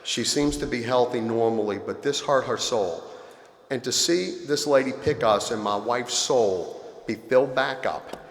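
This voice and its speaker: A man's voice: medium pitch, unhurried. A man of fifty giving an earnest, confessional recovery talk at a convention. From Louisiana. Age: 50s. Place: large convention hall. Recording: echoey hall audio.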